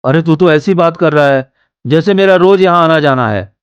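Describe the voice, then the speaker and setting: A man voicing an eager, easily frightened young village man who talks in a pleading, excitable way, with background music. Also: fairly high pitch, moderate pace, clear, resonant tone, no room sound, dull audio, natural voice. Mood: scared.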